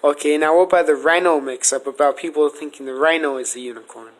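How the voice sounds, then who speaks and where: A man's voice: fairly high pitch, fast. A man asking questions in a casual, conversational interview style. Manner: informal, conversational.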